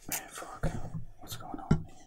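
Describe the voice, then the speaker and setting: Male, breathy whisperer for unscripted ASMR role play, hushed and puzzled, repeats himself in short phrases. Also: measured pace.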